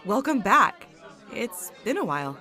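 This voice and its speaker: A woman's voice: medium pitch, very animated, a little slow, sharp tone, a faint crowd. A woman acting as a friendly, easygoing hospital staff member in an audio drama. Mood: friendly, casual.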